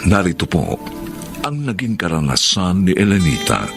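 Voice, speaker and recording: A man narrating a scripted radio drama in a solemn, deliberate announcer style. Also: deep voice, very animated, gravelly, exaggerated voice. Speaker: drama series narrator and host. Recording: loud music under the voice. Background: guitar music.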